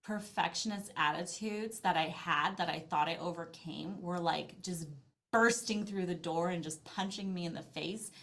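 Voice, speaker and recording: A woman's voice: fairly low pitch, moderate pace, fairly bright tone. A middle-aged woman talking casually as an interview guest, telling stories in a chatty, self-deprecating way. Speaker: podcast guest, language-learning business owner. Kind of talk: casual interview conversation, anecdotal.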